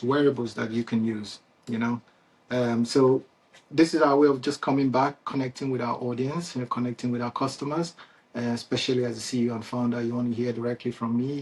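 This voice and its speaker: Man, Nigerian accent, husky, mid-pitched, casual founder Q&A, restarts and fillers. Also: a little mellow. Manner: conversational, many fillers and restarts, chuckles often. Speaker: CEO and founder hosting a company Q&A. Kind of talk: unscripted casual address to customers.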